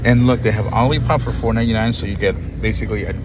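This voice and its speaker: A man's voice: fairly low pitch, quick pace, background noise. A man vlogging in a grocery store, chatting casually and reading prices aloud with upbeat remarks on deals, recorded on a handheld phone. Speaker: shopping vlogger. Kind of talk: casual shopping commentary. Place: grocery store aisles, indoors. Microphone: phone camera held in hand.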